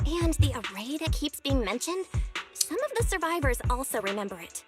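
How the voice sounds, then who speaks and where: An earnest young woman from an anime game, speaks in a high voice. Her lines are scripted and serious, with music playing clearly behind her. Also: sing-song, normal speed. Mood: thoughtful, serious.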